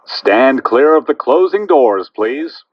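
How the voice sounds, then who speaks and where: Man, transit announcer, formal, mid-pitched, animated intonation, dull low-fidelity announcement recording. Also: slow, slight background noise. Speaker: automated subway train announcer.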